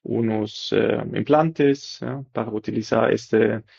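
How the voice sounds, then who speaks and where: Brief: technician speaking careful broken Spanish, creaky husky voice, dull dry stream audio. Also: male voice, lowish voice, fairly even intonation, darkish tone, dry recording. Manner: halting non-native Spanish, many fillers, tag questions. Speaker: dental technician and product developer. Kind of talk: casual technical explanation.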